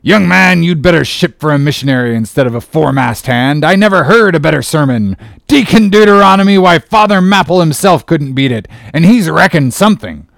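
A man voicing a gruff, blustering old whaling captain from an audiobook, with loud, exclamatory archaic nautical speech. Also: on the high side, sing-song, moderate pace, hardly any pauses, dry recording, clean studio sound, pitched above the performer's own voice, heavily acted. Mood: excited, hearty.

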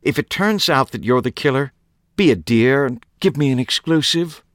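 A man's voice: medium pitch, lively intonation, normal speed, fairly bright tone, dry recording, studio quality, voice pushed up, lightly acted. A man voicing a flamboyant, eccentric male writer in an audiobook, drawing out his words theatrically.

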